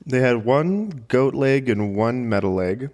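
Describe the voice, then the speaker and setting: A man's voice: medium pitch, expressive, unhurried, darkish tone. A man co-hosting a podcast in casual banter, with an informal, joking way of talking. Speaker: podcast co-host.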